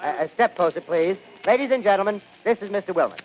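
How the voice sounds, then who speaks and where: A man's voice: sits high, animated, quick pace. A man playing a breathless radio field reporter. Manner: breathless live commentary, hesitant fillers. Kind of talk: 1930s radio drama acting. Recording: dull lo-fi radio recording, faint hiss.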